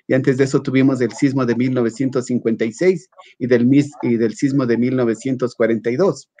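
A middle-aged man explaining as an academic panelist on a video call, haltingly, repeating words and adding questioning tags. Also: mid-range voice, little pitch movement, mellow, dry recording. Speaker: scientist, workshop panelist. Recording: slightly dull video-call audio.

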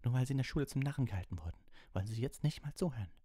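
A man's voice: on the low side, animated, fast talker, mellow, no room sound, clean studio sound, pitched above the performer's own voice. A man voicing an indignant, hot-headed teenage boy wizard in an audiobook. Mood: angry.

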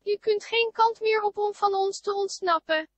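A woman's voice: extremely high pitch, normal speed, no room sound. A woman voicing a menacing, gloating child leader in a scripted horror broadcast, taunting and threatening. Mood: gloating menace.

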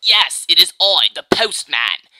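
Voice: mid-range voice, big pitch swings, unhurried, pushed and bright, dry recording, heavily acted, poor audio. An amateur performer voicing a pompous, blunt male bird-man postman, declaiming matter-of-factly in a video game parody.

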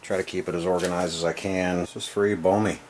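A man's voice: low voice, level tone, a little fast, slight background noise. A man giving a casual, offhand room tour on a handheld camera, talking in salty, folksy, self-deprecating slang. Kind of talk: casual offhand vlog narration. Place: small home gun room, indoors. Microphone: handheld camera, operated by the speaker.

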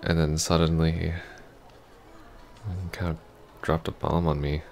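A man acts out a hesitant, heartbroken ex-boyfriend for an intimate audio roleplay. He speaks slowly and softly in an even, subdued tone, stumbling over his words as he apologizes. There is faint noise behind the voice. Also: a bass voice, vocal fry, full character voice. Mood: heartbroken, pleading. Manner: halting, stammering, soft-spoken. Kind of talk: intimate ASMR roleplay acting.

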